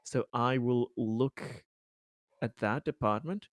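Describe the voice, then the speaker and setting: A man playing a tabletop roleplaying game, improvising his character's actions in a halting way, searching for words. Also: medium pitch, dry recording, clean studio sound.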